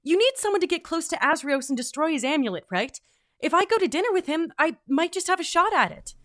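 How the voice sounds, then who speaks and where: A woman playing an earnest, quick-witted traveler on a mission in a fantasy audio drama. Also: very high voice, sing-song, a bit bright, full character voice. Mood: scheming. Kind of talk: fantasy audio drama acting with log narration.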